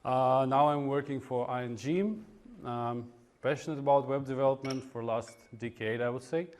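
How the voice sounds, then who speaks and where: Halting Russian-accented man presenting. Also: mid-range voice, fairly even intonation, darkish tone. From Russia (Moscow). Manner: halting, hesitant delivery with frequent pauses. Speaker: conference speaker, web developer. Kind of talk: informal technical presentation to a meetup. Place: indoor meetup room in front of an audience.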